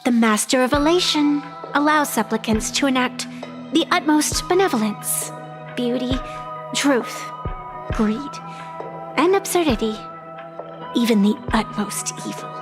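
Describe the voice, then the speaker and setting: Ancient, solemn high-voiced female arbitrator. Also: lively intonation, music in the background. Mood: grave, detached. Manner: solemn, deliberate, poetic, formal. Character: ancient, proud female arbitrator of deadly games, solemn and fatalistic. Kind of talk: scripted video game voice acting, dramatic storytelling.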